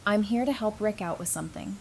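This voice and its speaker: A woman's voice: mid-range voice, quick pace, slight background noise, natural voice. A woman playing a guarded but compassionate survivor in an audio drama, speaking in a dry, blunt way. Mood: tentative, conciliatory.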